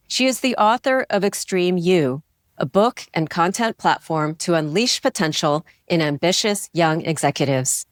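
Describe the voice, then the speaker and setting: Low-voiced woman podcast host. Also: no echo, studio quality. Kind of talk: interview hosting.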